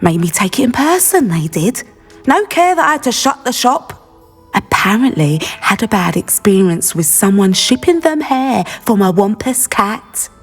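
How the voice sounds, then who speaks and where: A woman's voice: mid-range voice, sing-song, moderate pace. An actress playing a chatty, gossipy female shopkeeper of magical beast goods in a video game. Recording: long reverb, faint music behind.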